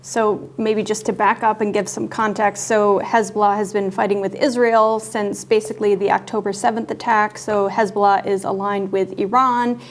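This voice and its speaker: A woman's voice: medium pitch, level tone, normal speed, hardly any pauses, slight background noise. A woman co-hosting a news discussion show, conversational and informal, with an inquisitive way of talking. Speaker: show co-host.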